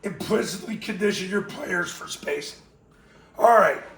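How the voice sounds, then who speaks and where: Hoarse, raspy man coaching football. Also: high-pitched, measured pace, a bit bright, reverberant. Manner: blunt, emphatic coaching talk. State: hoarse from a cold. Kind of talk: coaching tips to camera.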